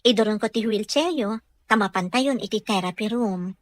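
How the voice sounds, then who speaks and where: A woman's voice: mid-range voice, normal speed, dry recording, close to an everyday voice. An actress playing a patient, respectful woman caregiver in an Ilocano radio drama, with a deferential, coaxing manner. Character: patient, respectful female caregiver to a wealthy man.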